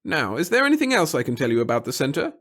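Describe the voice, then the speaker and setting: A man's voice: fairly high pitch, wildly swooping pitch, very fast, fairly bright tone, voice pushed up, heavily acted. A man voicing a small, nervous, fussy bureaucrat in an audiobook, speaking in formal, wordy, obsequious sentences. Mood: flustered, cautious. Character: little wizened fussy nervous male bureaucrat with hidden cunning. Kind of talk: audiobook character acting.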